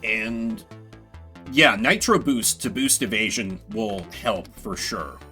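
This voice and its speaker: Man, game streamer, very lively pitch, bright punchy tone, playful, swearing, chatty live commentary, faint music behind. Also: slow. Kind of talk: live game commentary, reading text aloud and chatting with viewers. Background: video game music.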